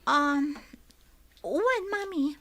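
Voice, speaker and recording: A woman's voice: high voice, animated, very slow, bright, forceful tone, no echo, studio quality, heavily acted. A woman playing an earnest, respectful young adult daughter in an Ilocano radio comedy drama. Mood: apologetic, earnest.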